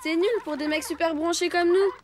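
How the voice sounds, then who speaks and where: A woman's voice: very high voice, little pitch movement, brisk. A woman playing a cocky, bored young elephant boy with a dismissive, slangy way of talking in a cartoon dub. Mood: scornful. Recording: music behind the voice.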